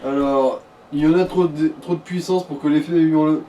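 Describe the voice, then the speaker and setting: A man's voice: a little high, steady tone, brisk, dark tone, muffled recording. A man chatting casually as co-commentator on a game, joking in slang. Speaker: let's play co-commentator. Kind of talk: casual gameplay chat. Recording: distant mic with light noise.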